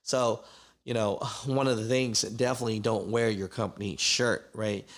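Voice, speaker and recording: A man's voice: fairly low pitch, steady tone, moderate pace. A man hosting a trucking channel, recapping a story in casual commentary with many fillers.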